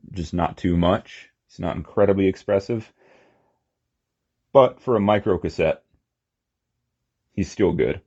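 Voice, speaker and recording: Low-voiced man giving a casual review. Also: dark tone, muffled recording. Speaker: toy collector reviewing figures. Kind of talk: casual unscripted hands-on review.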